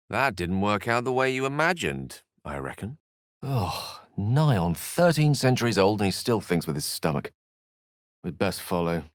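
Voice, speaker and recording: A witty, dramatic man of magic in a fantasy video game. His pitch swings expressively as he turns florid, articulate phrases with wry self-assurance. The voice sits a little low and is captured dry, in theatrical character style. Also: fairly bright tone, dry recording, exaggerated voice. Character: eloquent, witty, self-assured human wizard, bookish and dramatic.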